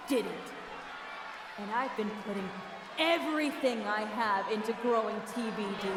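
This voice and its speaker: Bitter wrestling villainess, slow, echoing arena. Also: female voice, high voice. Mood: indignant, defiant. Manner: deliberate, sarcastic, pointed pauses. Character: bitter, jealous female pro wrestler villain, smug and possessive. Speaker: wrestler cutting a promo. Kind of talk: theatrical wrestling promo speech. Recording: echoey arena PA, crowd noise. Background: arena crowd.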